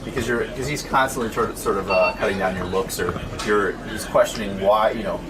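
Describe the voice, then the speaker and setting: A man's voice: lowish voice, a little fast, noisy background. A man working as an interviewer, asking probing questions in a casual conversational style.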